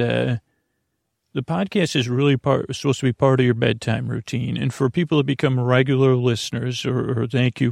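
A man hosting a sleep podcast in a rambling, halting bedtime monologue, with a creaky, gravelly voice. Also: medium pitch, darkish tone, dry recording, studio quality. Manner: meandering, halting, repeats and trails off. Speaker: podcast host.

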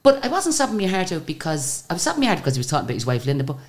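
Irish female singer, guest; very deep, low voice; animated, chatty storyteller. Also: very fast. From Ireland. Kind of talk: casual interview storytelling.

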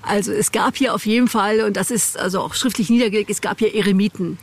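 A woman's voice: medium pitch, steady tone, fast, breathy edge. Female guide, mid-range voice, candid and explanatory, informal interview delivery. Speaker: local guide being interviewed. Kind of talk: informal spoken explanation of local history and legends.

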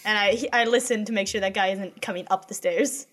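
Young woman player, chatty, thinks out loud, casual. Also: medium pitch, fairly even intonation, fairly quick. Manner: chatty, thinks aloud, laughs. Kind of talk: playing a tabletop game.

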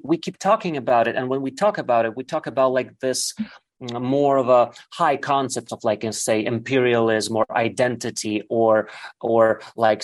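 Ukrainian man, halting and thoughtful. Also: medium pitch. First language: Russian. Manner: halting, searching for words, many fillers. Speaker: guest. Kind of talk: reflective interview answer.

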